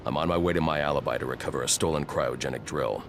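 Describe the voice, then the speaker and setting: A man playing a grim, brooding masked vigilante detective in a video game, speaking in a terse, deadpan, commanding and gravelly way. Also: a bass voice, fast, a little hiss or hum. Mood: grim, resolute. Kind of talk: dramatic video game acting.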